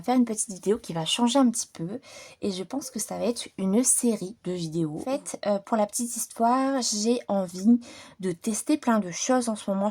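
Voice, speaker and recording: A woman chatting informally and unscripted to her viewers as a hobby channel host, in a relaxed, rambling manner. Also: medium pitch. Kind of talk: casual unscripted chat to viewers.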